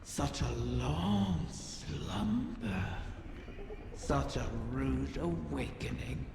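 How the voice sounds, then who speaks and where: A woman voicing an ancient, sly dragon with theatrical, taunting, drawn-out speech in a fantasy video game. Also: very deep voice, animated, gravelly, full character voice. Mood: sly, mocking. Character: ancient dead wish dragon, sly and mocking. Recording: cavernous reverb, noisy game capture.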